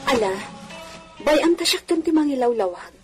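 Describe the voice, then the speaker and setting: A woman's voice: high voice, expressive, moderate pace, over loud music. A woman playing a genteel, soothing wife of a hacienda owner in a radio drama, speaking in a coaxing, gracious way. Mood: kindly. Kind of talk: radio drama acting in Ilocano. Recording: faintly noisy radio drama audio.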